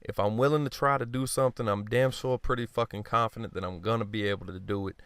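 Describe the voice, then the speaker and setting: A man giving casual gameplay commentary, blunt and profane, rambling and joking at himself, often clearing his throat. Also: lowish voice, steady tone, fast talker, fairly bright tone, no echo, clean studio sound. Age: adult. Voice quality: smooth voice. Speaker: gaming commentator.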